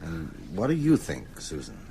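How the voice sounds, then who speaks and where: An actor playing an older, learned schoolteacher in a radio drama, grave and anxious, speaking with earnest, deliberate phrasing. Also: male voice, deep voice, wildly swooping pitch, gravelly, warm tone, a little hiss or hum, exaggerated voice. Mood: grave, worried. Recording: music under the voice.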